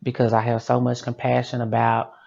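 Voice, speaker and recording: A man giving earnest, measured testimony in a documentary interview. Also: medium pitch, monotone, gravelly, darkish tone, lo-fi sound.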